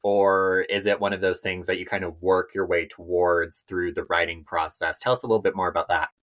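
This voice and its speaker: A man's voice: sits low, flat delivery, a little fast, fairly bright tone. A man co-hosting a podcast in a conversational interview style, thoughtful and articulate in how he talks. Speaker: podcast co-host.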